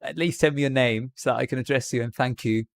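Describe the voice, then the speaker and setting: Male streamer, affected Received Pronunciation British accent, casual direct-to-viewer chat, mid-pitched voice, dry sound. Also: fast talker, vocal fry, no echo. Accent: put-on British Received Pronunciation. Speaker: live streamer.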